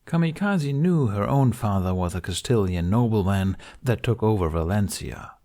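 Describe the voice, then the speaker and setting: A man with a fairly low voice reads a fantasy novel aloud as an audiobook narrator. His delivery is scripted storytelling, carried in that lower register. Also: lively intonation, moderate pace, dark tone.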